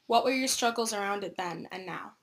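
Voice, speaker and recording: A woman interviewer reading prepared questions in a steady, even way. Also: medium pitch, brisk.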